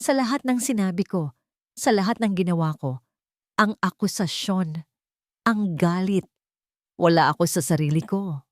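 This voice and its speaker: A woman voicing a grave, remorseful male billionaire in an audio drama, speaking in a halting, earnest way. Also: lowish voice, no echo, studio quality, natural voice. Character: remorseful, commanding billionaire father confessing and apologizing.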